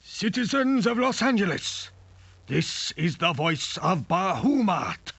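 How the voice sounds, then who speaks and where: An actor playing a coldly menacing crime lord in a video game, pompous, formal and deliberate, on a dull lo-fi sample. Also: male voice, high-pitched, very animated, gravelly, fairly bright tone, slightly rough, no echo, full character voice. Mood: grandiose, threatening. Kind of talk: theatrical video game villain acting.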